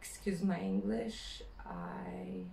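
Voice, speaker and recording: Slow, hesitant Croatian woman vlogging. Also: on the low side, steady tone, vocal fry, warm tone, reverberant, a little hiss or hum. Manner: hesitant, many fillers, searching for words. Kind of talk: personal vlog monologue.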